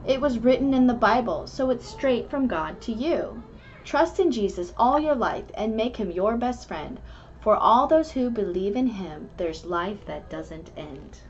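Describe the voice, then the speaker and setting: A woman's voice: fairly high pitch, animated, measured pace. A woman reading and explaining a children's story in an earnest, instructive manner. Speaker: storybook reader and host of a kids' club. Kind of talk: rhyming picture-book read-aloud, then casual explanation to children. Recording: muffled lo-fi sound with faint background noise.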